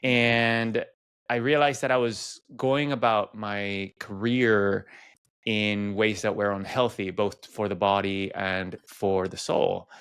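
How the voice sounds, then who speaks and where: Smooth, low-voiced man from Venezuela. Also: little pitch movement, slow, a bit bright, no room sound, clean studio sound. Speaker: podcast guest. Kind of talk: personal storytelling in an interview.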